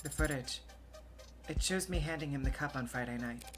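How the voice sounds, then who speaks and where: A woman's voice: deep voice, normal speed, music in the background, close to an everyday voice. A woman voicing an earnest, weary female android in a video game, speaking formally and precisely. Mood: defensive. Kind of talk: video game character voice acting.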